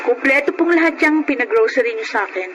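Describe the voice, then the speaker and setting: An actress playing a dutiful, supportive young sister in a radio drama, reassuring and respectful. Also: female voice, on the high side, animated, fast talker, over music, natural voice, poor audio. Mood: affectionate. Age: young adult. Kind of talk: Filipino radio drama acting.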